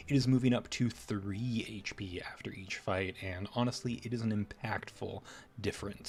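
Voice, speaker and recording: A man presenting game patch notes in an upbeat, conversational commentary style. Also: medium pitch. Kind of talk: casual scripted game update commentary.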